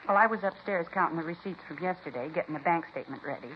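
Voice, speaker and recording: Actress as chatty woman cashier, dismissive and folksy, rapid delivery, 1950s radio drama with dull sound and light hiss. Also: fairly low pitch, close to an everyday voice. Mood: matter-of-fact. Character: chatty, indignant woman grocery cashier recounting a holdup. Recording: muffled, hissy 1950s radio recording.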